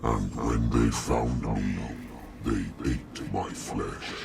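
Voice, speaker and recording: An actor voicing an ancient, sinister monster in a video game, growling slowly and ominously in riddles. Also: male voice, steady tone, loud music behind the voice, exaggerated voice. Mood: menacing. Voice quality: very deep gravelly growl. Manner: slow, ominous. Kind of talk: video game cutscene acting.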